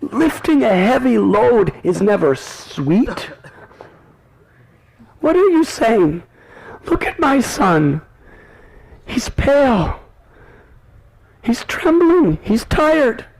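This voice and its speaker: A man doing an anxious village mother whose voice climbs to a thin, high wail as she frets over her son, drawn out and slow. Her tone is rough and gravelly underneath, pleading and tearful throughout the devotional tale. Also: big pitch swings, darkish tone, exaggerated voice, rough recording. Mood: distraught. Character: anxious loving mother, middle-aged village woman. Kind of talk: devotional story telling.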